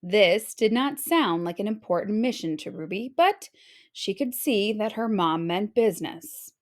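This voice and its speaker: A woman narrating a children's story aloud in a dramatic, expressive read-aloud style. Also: medium pitch, a little slow. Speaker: storybook reader.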